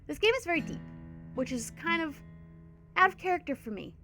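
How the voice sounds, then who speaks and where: A woman's voice: sits high, animated, brisk, music in the background. A young woman with a congested voice chatting casually in her bedroom while playing a game. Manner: bubbly, laughing, chatty. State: congested from a cold. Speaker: gaming YouTuber. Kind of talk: casual let's-play commentary.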